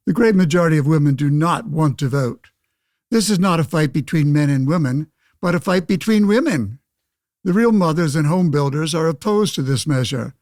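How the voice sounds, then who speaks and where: An actor playing a pompous, old-fashioned senator of 1911, speechifying against suffrage in a radio play. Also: male voice, fairly high pitch, darkish tone, no room sound, studio quality, close to an everyday voice. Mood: self-righteous. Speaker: debate guest. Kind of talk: pseudo-radio play, oratorical debate.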